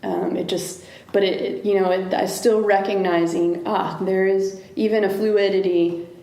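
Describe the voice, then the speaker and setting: A woman's voice: mid-range voice, fairly even intonation, measured pace, warm tone. A woman, a guest in an interview, talking things through thoughtfully and often restarting her sentences. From United States. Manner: thoughtful, searching for words, many restarts. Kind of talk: reflective interview conversation. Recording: echoey room.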